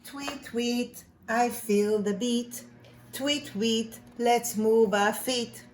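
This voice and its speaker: A woman's voice: a little high, very slow, soft background music. A woman voicing a cheerful little male songbird in a children's storybook, chanting in a rhythmic sing-song. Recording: echoey room with faint background noise.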